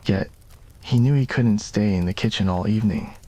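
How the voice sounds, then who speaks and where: A man's voice: on the low side, normal speed, warm tone, low-quality recording. A man reading scripted narration for a romantic ASMR roleplay story.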